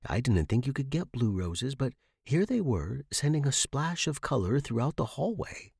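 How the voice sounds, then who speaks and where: A man's voice: medium pitch, very animated, moderate pace, gravelly, a little mellow, slightly rough, no echo, studio quality. A man reading scripted first-person fiction as the narrator.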